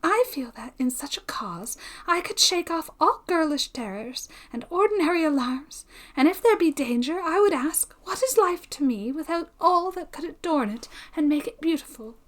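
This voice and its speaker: A woman reading a storybook voices an impassioned, gentle young woman with breathy, anxious, formal period speech. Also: high voice, animated, moderate pace, voice pushed up.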